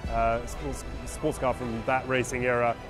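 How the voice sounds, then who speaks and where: Man explaining over clear music. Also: mid-range voice, little pitch movement, measured pace. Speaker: auction house car specialist. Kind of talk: interview explanation. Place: large tent with cars on display.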